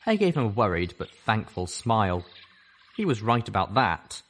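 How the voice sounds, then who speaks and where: A man reading a story aloud as first-person narration, expressive and dramatic. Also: fairly low pitch, normal speed. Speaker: audiobook reader.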